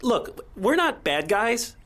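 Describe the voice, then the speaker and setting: An actor playing an earnest, conciliatory amateur kidnapper, slightly hesitant, in an audio drama. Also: male voice, very high voice, very animated, slow, a bit bright, heavily acted. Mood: earnest, placating.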